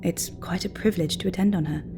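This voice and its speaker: An actress playing an obsequious undertaker's assistant in an audio drama, speaking in a confidential, proudly professional way. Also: female voice, lowish voice, steady tone, fast talker, background noise, close to an everyday voice. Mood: confiding, admiring. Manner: confidential, unctuously admiring.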